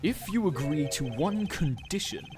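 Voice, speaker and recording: A man's voice: on the high side, lively intonation, moderate pace, music in the background, lightly acted. A man playing a smug, haughty prosecutor with formal, condescending delivery in a video game fan dub. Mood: pompous, insistent.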